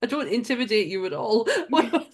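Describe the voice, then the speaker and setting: A woman's voice: fairly high pitch, animated, normal speed. A woman from Sunderland with a Mackem accent, co-hosting a casual podcast chat. She giggles often, swears offhandedly and trails off mid-sentence. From Sunderland, England. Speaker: podcast co-host.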